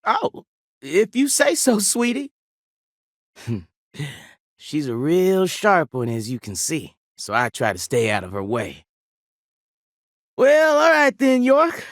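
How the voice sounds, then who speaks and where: A man's voice: high-pitched, huge pitch swings, takes it slowly, long pauses, fairly bright tone, no echo, clean studio sound, heavily acted. A man playing a folksy, jovial small-town sheriff in a video game, with a rambling Southern US drawl. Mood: jovial, obliging.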